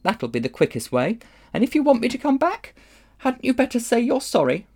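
A man voicing a prim, sharp-tongued young girl in a storybook, cool and sarcastic in manner. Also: fairly high pitch, wildly swooping pitch, normal speed, pitched above the performer's own voice, exaggerated voice. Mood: sarcastic, indignant. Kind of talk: audiobook character acting.

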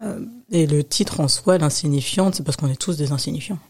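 A woman's voice: low-pitched, a little fast, darkish tone, slightly rough. A woman with an accent from France, a guest author answering interview questions in a candid, emphatic and informal conversational way. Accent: French from France.

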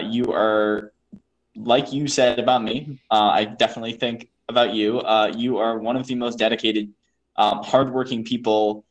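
A man's voice: on the low side, dull audio. A man hosting a screenwriting podcast, chatting casually with a guest in a rambling way, full of fillers and restarts. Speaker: podcast host. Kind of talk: casual interview chat.

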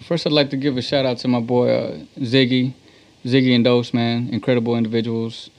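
A man's voice: medium pitch, little pitch movement, a little fast. A man chatting casually and in slang as an interview guest, a musician by trade. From Texas. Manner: slangy, conversational. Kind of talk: casual chat.

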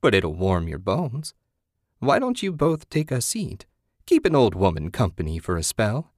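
A man voicing an eerie old woman hermit in a story, folksy and hospitable yet sly, with drawn-out phrasing. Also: fairly low pitch, huge pitch swings, a little mellow, dry recording, clean studio sound.

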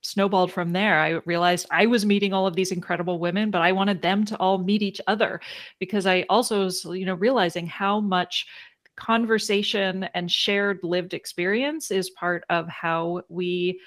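Woman, lowish pitch, reflective, halting phrasing, conversational personal introduction. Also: fairly even intonation. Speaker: ADHD coach for women.

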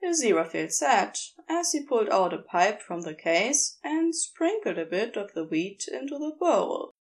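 A woman reading scripted fan fiction as narrator, in an expressive, measured storytelling manner. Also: medium pitch. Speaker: narrator and reader.

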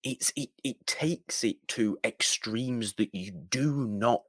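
A man co-hosting a film podcast, casually giving his opinions in a halting but emphatic way. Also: mid-range voice, a bit bright, no room sound, clean studio sound. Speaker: podcast co-host.

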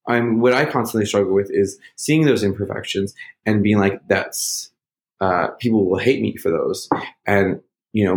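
Man, casual chat, rambling and reflective, lowish voice, lots of "you know" and "like". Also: poor audio. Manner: rambling, self-searching, many fillers; loud at times.

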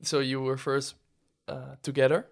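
A man's voice: mid-range voice, level tone, normal speed, vocal fry. Hesitant male podcast host. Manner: hesitant questions with restarts and light laughter. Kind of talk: interviewing, casual chat.

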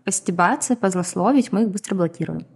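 A woman from Ukraine speaks as a podcast guest, explaining carefully and pausing often. Her pitch sits in the middle range. She talks at an unhurried pace, filling gaps with small hesitations. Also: gravelly, a little mellow, breathy edge. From Donetsk, Ukraine. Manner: measured, explanatory, many hesitations. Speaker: guest, community founder. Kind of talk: podcast interview answers.

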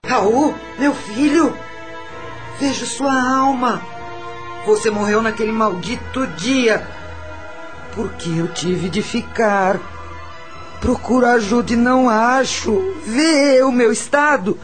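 A woman playing the tormented, bitter ghost of a wronged mother in a slow, dramatic radio drama performance. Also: fairly high pitch. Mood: anguished lament. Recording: music under the voice.

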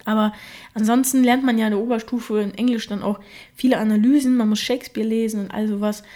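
A woman's voice: a little high, fairly even intonation, fast, mellow. A young woman hosting her own podcast, who grew up in Germany with Russian as her first language, talking casually with many fillers. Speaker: podcast host. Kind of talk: casual solo podcast talk.

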